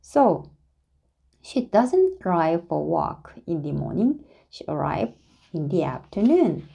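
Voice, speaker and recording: A woman reading a children's story aloud in an expressive, deliberate way, phrasing it dramatically for young listeners. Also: on the low side, vocal fry, breathy edge, muffled recording. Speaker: storybook reader.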